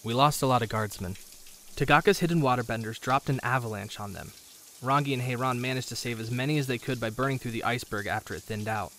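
A man playing a confident, wry teenage boy hero in an animated drama, speaking with an assured manner. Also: mid-range voice, fast talker, slight background noise, natural voice.

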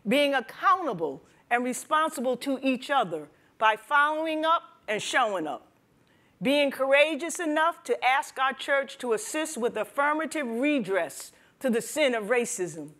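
An older woman with a high-pitched voice delivers a prepared panel address. She is deliberate and solemn, weighing each phrase as a religious sister speaking in public. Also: a bit bright. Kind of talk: prepared speech. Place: wood-panelled library room at a panel table. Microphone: lapel.

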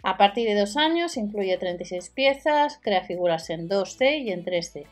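A woman's voice: mid-range voice, lively intonation, moderate pace, soft background music. A woman giving a matter-of-fact voice-over of store deals, listing prices and sizes. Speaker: deal-video host. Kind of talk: voice-over product walkthrough.

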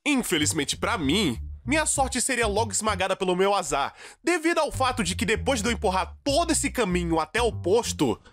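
Theatrical Brazilian man with animated pitch swings. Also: brisk, few pauses, no echo. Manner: theatrical, exaggerated, swinging from low mutters to shrill outbursts. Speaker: narrator. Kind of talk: animated comedic story narration, fan dub.

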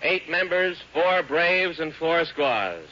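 A hearty, folksy American man hosts a live 1940s radio show for children. His voice is high and piercingly bright. The old broadcast recording has some background noise. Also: animated, slow pace, highs cut off. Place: theater stage.

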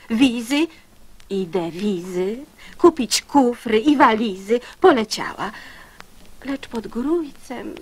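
A woman reciting verse for children in an expressive, storytelling style. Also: on the high side, husky.

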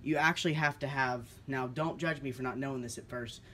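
A man's voice: medium pitch, steady tone, a little fast, pushed and bright. Casual man explaining, noisy room recording. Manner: informal, explanatory, unscripted. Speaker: hobbyist video creator demonstrating two-way radios. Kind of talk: casual demonstration narration. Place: indoors, kitchen-like room with countertop.

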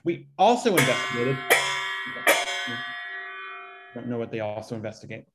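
A man's voice: medium pitch, animated, a little slow, pushed and bright, soft background music, low-quality recording. A man presenting a research paper and answering questions on a video call. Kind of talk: reading aloud and answering questions. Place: indoors on a group video call.

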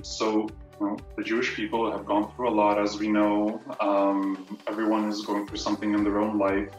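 A man's voice: on the low side, dull audio. A young adult man, a student, talking casually to camera on a video call from a room at home. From Canada. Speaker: student fellow, presenter. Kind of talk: casual personal talk to camera. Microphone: camera mic at a distance. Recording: roomy echo, distant mic, music behind. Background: music.

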